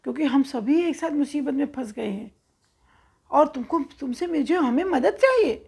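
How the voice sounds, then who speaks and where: Woman playing a high-voiced, coaxing pigeon leader. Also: lively intonation, normal speed, creaky, a little mellow. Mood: pleading. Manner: coaxing, earnest, calling out. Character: friendly, pleading male pigeon leader.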